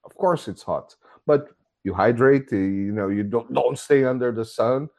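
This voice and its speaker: A man's voice: medium pitch, normal speed, a little mellow. A man from Brazil with a Brazilian accent, a guest in a casual video call interview, speaking bluntly and exasperatedly with many restarts. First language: Portuguese. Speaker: guest commentator. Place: home, hot room.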